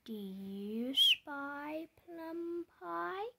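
Slow, high-pitched storybook reader. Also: sing-song, vocal fry, heavy background noise. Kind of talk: slow picture-book read-aloud with questions to the listener. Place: indoors, book held before the camera.

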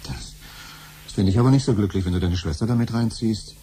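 A man's voice: fairly low pitch, fast, warm tone, a little hiss or hum, natural voice. An actor playing an impatient, scheming middle-aged father in a science-fiction radio play. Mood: exasperated.

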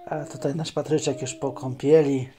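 A man's voice: mid-range voice, little pitch movement, normal speed, mellow. A man narrating a casual family vlog with dry jokes, filming on a phone outdoors and in a shopping mall. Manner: chatty, dry joking asides. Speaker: vlogger filming his family. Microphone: handheld phone camera. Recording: noisy outdoor phone recording.

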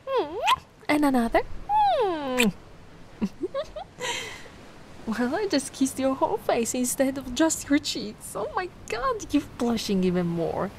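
A woman's voice: high voice, noisy background, full character voice. A woman from Italy playing a giant, affectionate, bubbly young mermaid in a cutesy, cooing ASMR roleplay. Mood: gushing, doting. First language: Italian. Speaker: roleplay performer.

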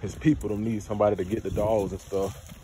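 A man's voice: fairly low pitch, little pitch movement, quick pace. A young man casually vlogging about dog breeding while walking his dog on a neighborhood sidewalk, recorded on a handheld phone. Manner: laid-back, slangy, talks to his dog between remarks. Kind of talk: casual walk-and-talk vlog. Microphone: phone held while walking a dog. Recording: outdoor phone recording with clear background noise.

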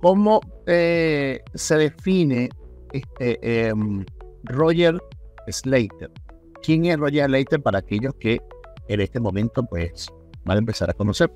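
A man's voice: mid-range voice, animated, slow pace, darkish tone, faint music behind. A middle-aged man, hosting a conversational interview into a close microphone on a video call. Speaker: podcast host and pastor. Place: indoor room on a video call. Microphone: desk microphone close in front.

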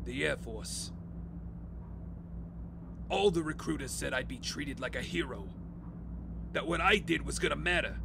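An actor playing a paranoid, anguished war veteran turned hostage-taker in an audio drama, agitated and halting as he rants. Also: fairly high pitch, gravelly, background noise. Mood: anguished, bitter.

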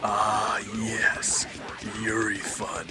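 A man voicing a smug, lecherous villain in a comedic anime parody dub, with a husky, gloating drawl. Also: on the low side, little pitch movement, extremely slow, over music. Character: smug, lecherous villain plotting for online fame.

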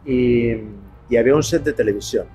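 A man's voice: medium pitch, brisk, mellow, faint music behind. A middle-aged man with an accent from Spain, telling casual, rambling anecdotes outdoors. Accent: Spanish from Spain. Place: outdoors on residential and city streets.

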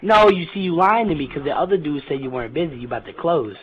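A man's voice: a little high, animated, fast, a crowd in the background. A man making an improvised prank phone call, talking in pushy, cocky street slang over a headset in online voice chat. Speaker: prank caller. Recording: noisy game voice chat with clicks.

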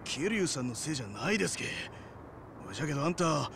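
A friendly middle-aged small-town guy with a Hiroshima accent. His high voice swings widely and crackles with creak, in a folksy game drama style. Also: sing-song, quick pace. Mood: friendly, animated. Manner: folksy, colloquial. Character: friendly, animated middle-aged local amateur ballplayer. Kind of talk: Japanese video game drama acting.

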